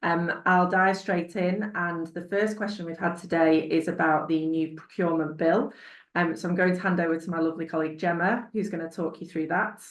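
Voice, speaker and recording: A woman's voice: on the low side, fairly even intonation, fairly quick. A woman hosting a video call Q&A, introducing questions and handing over to colleagues with friendly fillers. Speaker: webinar host. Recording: roomy video call audio.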